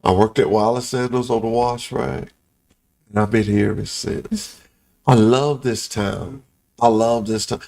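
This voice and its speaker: A man's voice: on the low side, fairly even intonation, unhurried, gravelly, a little mellow. A middle-aged man from Pensacola hosting a local talk show, telling folksy stories in casual Southern speech. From Pensacola, Florida.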